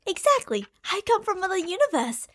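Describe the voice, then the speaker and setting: A woman acts out an obsessive female stalker who is madly in love. Her voice is high, and she speaks straight to the listener in an ASMR roleplay. She coaxes and explains fondly, leaning on words theatrically. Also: sing-song, brisk. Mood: eager, explanatory. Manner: coaxing, explanatory, fond pet names, theatrical emphasis. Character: lovestruck obsessive female stalker from a parallel universe.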